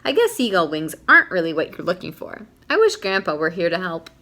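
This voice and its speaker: A woman's voice: fairly low pitch, very animated, a little fast, pushed and bright, exaggerated voice. A woman voicing a cheerful, helpful little shopgirl in a storybook, polite and chatty. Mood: apologetic.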